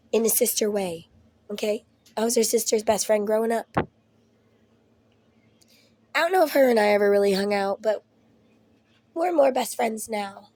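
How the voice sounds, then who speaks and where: Mom losing her voice, kinda high, rambly and cussing, laughs a lot. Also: measured pace, pauses often, a little mellow, no echo. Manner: rambling, swearing, laughing asides. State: ill with Covid. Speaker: vlogger. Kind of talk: casual chat to camera. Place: at home.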